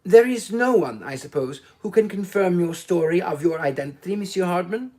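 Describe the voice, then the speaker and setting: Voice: mid-range voice, animated, moderate pace, warm tone, close to an everyday voice. A reader voicing a fussy, precise middle-aged Belgian detective questioning witnesses in an audiobook. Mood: probing.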